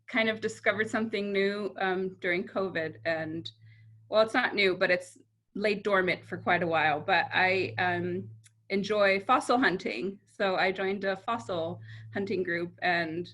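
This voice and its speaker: A young woman from San Antonio, Texas, a PhD student, introducing herself in a relaxed, chatty way on a video call. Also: mid-range voice. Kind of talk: casual self-introduction.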